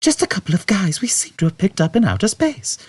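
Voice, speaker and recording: A man voicing a patient, firm woman aboard a spaceship in an audiobook, bright and compassionate in manner. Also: high-pitched, very animated, fast, breathy edge, no echo, pitched above the performer's own voice, exaggerated voice. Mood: matter-of-fact.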